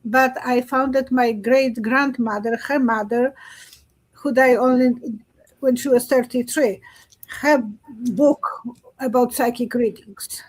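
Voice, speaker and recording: A woman speaks English as a while hosting a chat on a video call. Her voice sits high, and she asks her questions eagerly. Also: steady tone, slow pace, rough recording. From Poland. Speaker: host, psychic. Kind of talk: casual chat.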